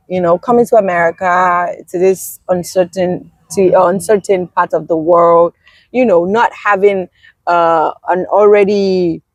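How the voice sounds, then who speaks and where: A woman's voice: on the low side, dry recording. A Nigerian woman with a Nigerian accent hosting an interview, affirming her guest with frequent murmured agreement. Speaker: podcast host. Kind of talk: conversational interview.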